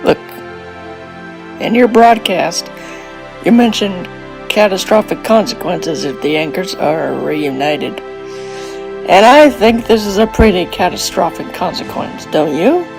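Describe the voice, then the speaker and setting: A woman's voice: fairly low pitch, expressive, a little slow, vocal fry, over music. A woman voicing a cool, protective pony sister in a cartoon fan dub, casual and matter-of-fact, with a wry edge.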